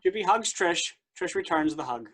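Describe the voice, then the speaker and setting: A stage directions reader with a medium-pitched voice. The delivery is scripted narration for a play reading, setting out each action. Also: animated, moderate pace, fairly bright tone, husky.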